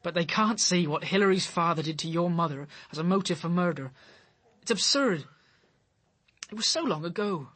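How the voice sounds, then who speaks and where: An earnest young British man, devoted and anxious, in a radio crime drama. His voice is light and husky, with a pleading manner. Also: sits high, expressive, moderate pace, bright, forceful tone, exaggerated voice. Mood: pained, protesting.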